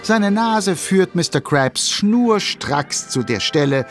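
A male narrator of a children's audio play. His voice sits a little high and moves with very animated intonation, over faint music. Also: takes it slowly. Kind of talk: lively scripted children's audio drama narration.

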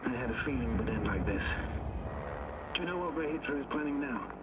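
An actor playing a grave, formal space fleet commander in a video game, heard over a radio transmission. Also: male voice, medium pitch, expressive, fast. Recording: noisy, muffled radio link.

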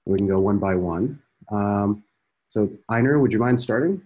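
A man's voice: fairly low pitch, lively intonation, moderate pace, a little mellow, highs cut off, slight background noise. A man in his thirties hosting a founders' video call, talking casually with many fillers. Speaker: program host. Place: indoor room. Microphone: laptop video call.

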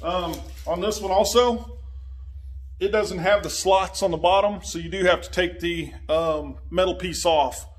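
A man's voice: high voice, unhurried. A man in his forties casually explaining a motorcycle windshield install in his garage, talking while he works and drifting from the phone camera. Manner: plain-spoken, talks while working with his hands, drifts from the mic. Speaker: motorcycle vlogger. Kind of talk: casual how-to narration while working.

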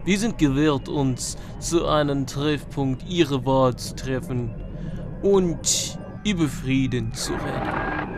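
Male actor as scheming grand governor, pompous, very measured pace, music behind. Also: mid-range voice.

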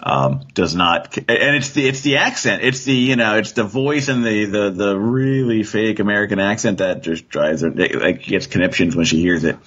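A man chatting casually as a podcast guest, talking in a halting way and circling back over his phrases. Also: fairly low pitch, lively intonation, fairly bright tone, husky, highs cut off. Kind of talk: casual fan discussion.

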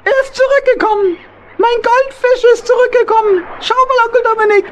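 A woman voicing a small, childlike, excitable male hedgehog with a breathless, stammering manner in a children's audio play. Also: very high voice, fairly quick, background noise. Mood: excited.

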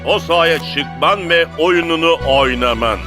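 A male actor voicing a stern but wise football coach in a cartoon dub, emphatic and deliberate. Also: a little high, lightly acted. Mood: firm. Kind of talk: animated cartoon dub acting. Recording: music behind the voice.

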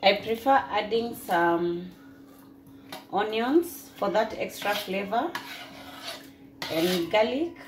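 A young woman vlogger from Kenya, calmly explaining her cleaning and cooking steps in a casual voice-over. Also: mid-range voice, slow, long pauses. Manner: plain step-by-step explaining. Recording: echoey room with background noise.

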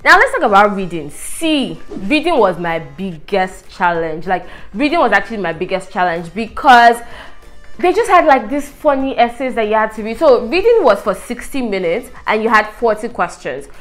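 A young woman from Nigeria whose first language is Yoruba talks casually through exam tips to the camera. She chats with lots of fillers, sitting at a desk in a bright apartment room. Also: medium pitch, very animated, moderate pace, soft background music. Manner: chatty, gestures a lot, frequent fillers. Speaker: vlogger giving exam tips. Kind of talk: casual explanatory vlog.

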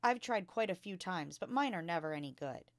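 A woman reading a storybook as a bright, earnest five-year-old schoolgirl, polite, precise and modest. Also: fairly low pitch, expressive, brisk, dry recording.

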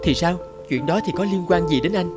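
Casting: a woman acting a young cartoon heroine, wounded yet defiant, emotional delivery, music loud underneath. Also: fairly low pitch, brisk, close to an everyday voice. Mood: indignant, defiant. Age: young adult. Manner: emotional, indignant and pleading. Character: distressed young engaged woman, defiant, wounded, guilt-ridden. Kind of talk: animated drama voice acting.

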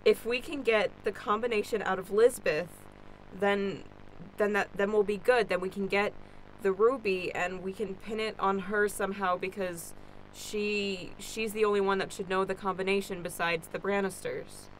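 A woman's voice: mid-range voice, fairly even intonation, moderate pace, natural voice, poor audio. A woman playing an anxious, hesitant young heroine in an improvised radio play, stammering in a natural, everyday way. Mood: insistent. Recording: faint background noise.

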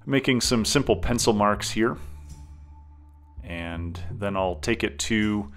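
A man hosting a hobby workbench video, narrating in a relaxed, thinking-aloud way with frequent "uh" fillers. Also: on the low side, takes it slowly, soft background music. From United States. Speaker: model railroad hobby host. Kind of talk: relaxed tutorial narration over workbench footage. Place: home workbench.

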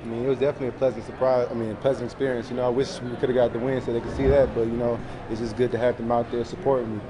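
A young man, a college football player, answering reporters in a press room with short, low-key replies full of fillers. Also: level tone, very fast, a crowd in the background, rough recording. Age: young adult. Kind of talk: post-game press conference answers. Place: press room in front of a sponsor backdrop. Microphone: table mics in front of him.

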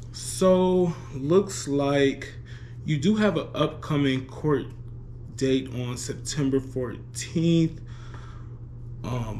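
Male prank caller, mid-pitched, polite bureaucratic delivery, halting pauses, faint background noise. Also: a little mellow. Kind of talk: prank phone call posing as a parole officer.

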